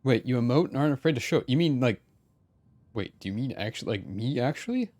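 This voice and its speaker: A streamer giving casual, chatty live commentary on a game, reading along and reacting in an animated way. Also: mid-range voice, quick pace. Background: faint game music.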